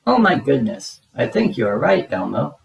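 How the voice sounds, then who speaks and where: A man's voice: mid-range voice, very animated, normal speed, vocal fry. A man voicing a polite, nervously brave little furry monster in a storybook, with formal, careful phrasing. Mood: frightened.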